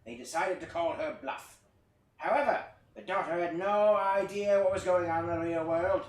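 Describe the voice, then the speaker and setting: A man playing a theatrical fable-teller with a high voice and wry conversational asides. He is picked up from a distance in an echoey room, as in a staged play reading, with faint noise. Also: normal speed, natural voice. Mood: dramatic, wry. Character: lively puppet-show storyteller spinning a mock-epic creation fable.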